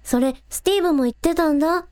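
A woman's voice: very high voice, quick pace, darkish tone, dry recording, studio quality. A woman playing a plucky, practical young village idol who talks casually in a radio drama. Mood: thoughtful. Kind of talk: Japanese radio drama acting.

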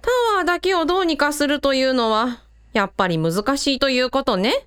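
Clear, high, animated village woman played by an actress. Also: brisk. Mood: courteous. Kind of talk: amateur radio drama dialogue.

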